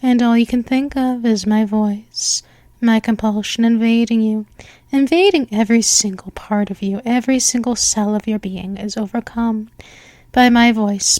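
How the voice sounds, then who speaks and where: Woman doing a female vampire mistress, possessive, hypnotic, soft whispers, slow. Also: fairly high pitch, no room sound. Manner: coaxing, repetitive, counts down. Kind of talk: ASMR hypnosis roleplay.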